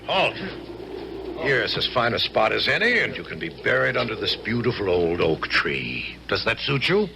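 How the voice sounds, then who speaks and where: An actor playing a courtly but inflexible military colonel in a 1970s radio drama, formal and commanding. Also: male voice, fairly low pitch, sing-song, moderate pace, creaky, music in the background. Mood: stern, commanding. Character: courtly, inflexible Hessian colonel ordering an execution.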